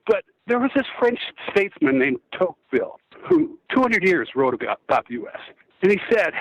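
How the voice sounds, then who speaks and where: A man's voice: high-pitched, a bit bright, no room sound, lo-fi sound. A man in his sixties, a guest in a phone-in interview, talking with impassioned, preachy conviction that swings from outrage to sorrow. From United States. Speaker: guest, former football quarterback.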